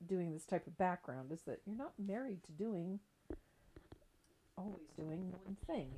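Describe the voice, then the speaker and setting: A woman's voice: deep voice, mellow, breathy edge, low-quality recording. A middle-aged woman giving a casual, chatty live craft tutorial in an informal way. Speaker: craft painting instructor and livestream host. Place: indoor craft table.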